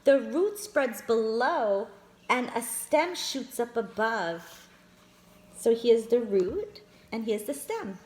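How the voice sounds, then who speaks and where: A woman reads a picture book aloud to small children, slowly and with a bouncy, sing-song rise and fall. Her voice sits fairly high, and she keeps turning to her listeners as friends to show them the pictures. The sound carries an echo from the room. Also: expressive, a little mellow. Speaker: children's storyteller. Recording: echoey room.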